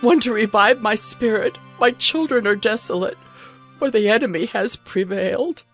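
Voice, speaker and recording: A woman's voice: on the high side, normal speed, fairly bright tone. A woman playing a ravaged city personified as a grieving, humbled woman, in an anguished, pleading lament for a dramatized scripture reading. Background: music behind the voice.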